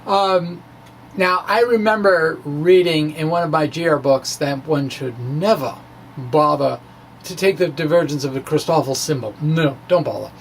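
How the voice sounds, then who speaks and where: Higher-voiced man explaining physics, halting and wry. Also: slight background noise, low-quality recording. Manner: halting, frequent hesitations mid-phrase; wry, playful, chuckles at own asides. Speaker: amateur physicist presenting own science blog. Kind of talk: dramatic reading of a physics blog, informal explaining.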